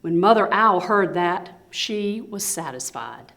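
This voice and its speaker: A woman reading a picture book aloud to children in an expressive storytelling style. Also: lowish voice, slow, vocal fry. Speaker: volunteer children's story reader.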